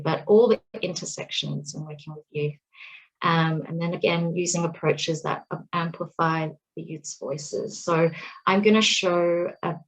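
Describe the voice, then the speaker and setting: Canadian woman facilitator, low voice, earnest, lots of ums. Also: rough recording. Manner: earnest, measured, with many fillers. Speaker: workshop facilitator. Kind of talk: online presentation.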